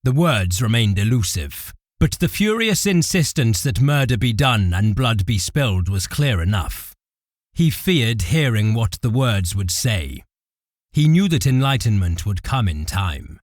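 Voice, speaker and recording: Male narrator for audio drama, lively swings in pitch, dry close studio sound. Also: measured pace, dry recording. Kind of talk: dramatic scripted fiction narration.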